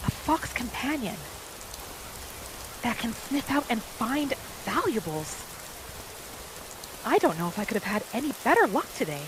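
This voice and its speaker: A woman narrating her game roleplay in character, with a gentle, musing manner as if talking to herself and her pets. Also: fairly high pitch, background noise. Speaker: roleplay gaming host. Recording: music behind the voice. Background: background music.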